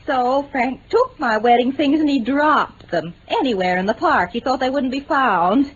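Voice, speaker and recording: Actress earnest young American bride, highish voice, impulsive, apologetic, breathless, vintage radio drama, muffled sound. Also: female voice, moderate pace, natural voice. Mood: ashamed, earnest. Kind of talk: 1950s-60s BBC radio drama acting. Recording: dull vintage radio recording, faint noise.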